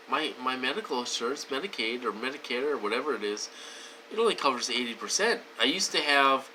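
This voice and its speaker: A man's voice: mid-range voice, pushed and bright, slight background noise, rough recording. A man talking casually to his viewers, wheezy and short of breath, rambling with many fillers and restarts. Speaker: vlogger. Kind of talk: casual talk to camera.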